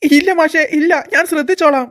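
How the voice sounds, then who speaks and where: A timid male school watchman from a cartoon, voiced by a woman. He whines and begs in a raised, high voice. His tone stays anxious and pleading. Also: brisk, a bit bright. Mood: begging. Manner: whiny and begging.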